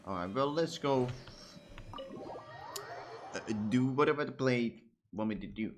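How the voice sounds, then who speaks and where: A man's voice: mid-range voice, unhurried, background noise. A man streaming a game with casual commentary, full of blunt, profane, muttered asides.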